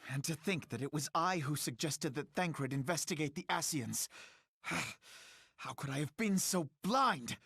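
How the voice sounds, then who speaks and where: Resolute young scholar type in a fantasy game, highish voice, formal theatrical wording, very dry recording. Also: male voice, lively intonation, a little slow, creaky, fairly bright tone, husky, dry recording, heavily acted. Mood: anguished self-reproach. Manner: formal, eloquent, theatrical diction. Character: earnest young male scholar leader, resolute and self-reproaching. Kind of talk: dramatic video game cutscene acting.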